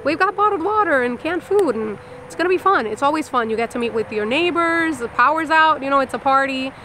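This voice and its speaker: A high-voiced woman from Puerto Rico tells her story in a candid, animated way, acting out remarks as she goes. Clear outdoor urban noise and traffic sit behind her. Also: fairly quick. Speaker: mother recounting a hurricane.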